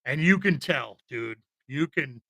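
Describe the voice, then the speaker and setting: A man's voice: mid-range voice, expressive, unhurried, vocal fry, sharp tone, no echo. A man co-hosting a podcast, talking casually about films in a rambling, informal and opinionated way. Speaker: podcast co-host. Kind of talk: casual film chat.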